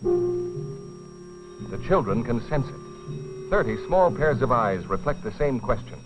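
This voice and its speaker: A man narrating a dramatized film in a grave, deliberate scripted style with dramatic pauses. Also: mid-range voice, wildly swooping pitch. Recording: dull old film soundtrack, music behind. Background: dramatic music.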